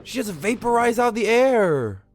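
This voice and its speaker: Animated male game streamer, slow-paced. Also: very high voice. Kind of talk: casual live game reaction. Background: soft game music.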